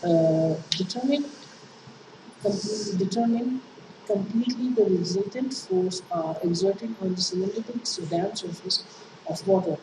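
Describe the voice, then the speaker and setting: Woman, Pakistani English accent, Urdu native, engineering lecture, halting delivery with many fillers, distant from the mic, noisy background. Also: medium pitch, reverberant. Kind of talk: classroom lecture explaining worked problems.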